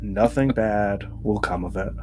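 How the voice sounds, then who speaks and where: A man's voice: on the low side, unhurried. A man chatting casually as a player in a tabletop role-playing game.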